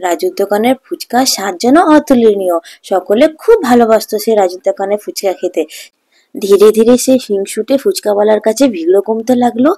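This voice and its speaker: A woman's voice: mid-range voice, mellow. A woman reading scripted narration for a children's story as the storyteller.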